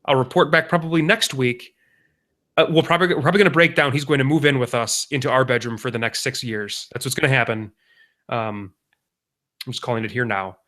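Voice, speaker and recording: A young man co-hosts a casual podcast. His voice sits at an ordinary middle pitch. He talks in long, rambling stretches and often corrects himself mid-sentence. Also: fairly quick, a bit bright. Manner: rambling, self-correcting parent anecdotes. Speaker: podcast co-host. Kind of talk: casual chat.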